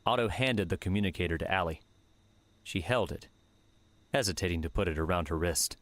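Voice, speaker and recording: A man narrating an audiobook in a measured, steady reading manner. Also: deep voice, no room sound. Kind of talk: scripted narration.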